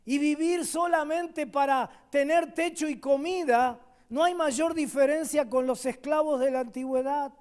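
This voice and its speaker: A man with an Argentine accent preaching a sermon as a guest pastor at a church service. He swings between loud, emphatic declarations and quiet asides. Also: very high-pitched, normal speed, hardly any pauses.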